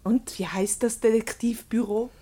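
A woman's voice: fairly high pitch, steady tone, normal speed, warm tone, natural voice. A woman with a Swiss German accent playing a clever, teasing, unbothered wife in a radio comedy, coolly mocking. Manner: mocking, coolly self-assured.

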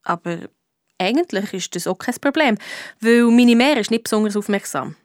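A woman's voice: mid-range voice, expressive, normal speed, no echo, clean studio sound, natural voice. A woman playing a young girl detective who narrates her own adventure confidingly in a radio play.

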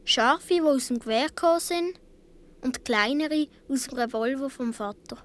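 Little swiss boy, high voice, earnest kid storytelling for a radio drama, swiss german accent. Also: normal speed, fairly bright tone. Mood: earnest, tense. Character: seven or eight year old Swiss boy recalling his adventures. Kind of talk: radio play narration.

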